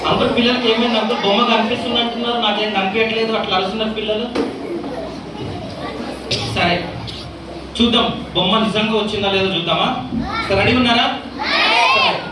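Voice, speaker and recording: A woman with a fairly high voice hosts a children's church program, teasing and questioning the kids as she warms them up for a puppet show. She sounds far from the mic in a big echoing hall, with loud children and crowd noise all around. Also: steady tone, fast, pauses often. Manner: coaxing, questioning children playfully. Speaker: vacation bible school leader. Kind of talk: lively children's show hosting. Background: noisy children.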